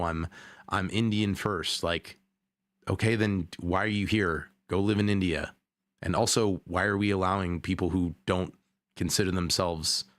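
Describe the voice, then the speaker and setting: A man giving casual, off-the-cuff commentary as a streamer, rambling and halting as he repeats himself. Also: deep voice, fairly bright tone, dry recording. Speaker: streamer reacting to a video.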